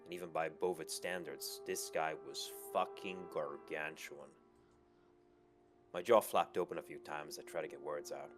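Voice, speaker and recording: A man's voice: very deep voice, steady tone, normal speed. A man reading first-person narration from a visual novel as a storyteller. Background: background music.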